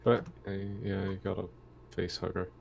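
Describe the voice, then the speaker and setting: A man's voice: sits low, completely flat delivery, moderate pace, vocal fry, a little mellow, slight background noise. A man playing a tabletop game, chatting casually with the others around the table.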